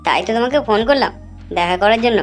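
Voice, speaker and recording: A woman's voice: a little high, lively intonation, rapid-fire, lightly acted. A woman voicing a scheming young widowed daughter-in-law in an amateur cartoon, brash and colloquial. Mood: coaxing, brash. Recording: music under the voice.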